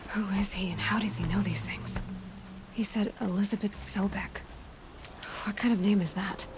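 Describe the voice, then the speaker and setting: A woman's voice: mid-range voice, steady tone, normal speed, noisy background, natural voice. A woman playing a determined, wary young hunter in a video game, dryly thinking aloud to herself. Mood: pensive, questioning. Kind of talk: video game cutscene acting.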